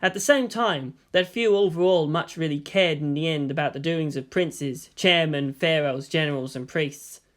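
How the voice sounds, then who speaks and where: A male narrator reads a piece of fan fiction in audiobook style. His voice sits clearly higher than most men's, and he delivers the prose as scripted storytelling. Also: normal speed, hardly any pauses.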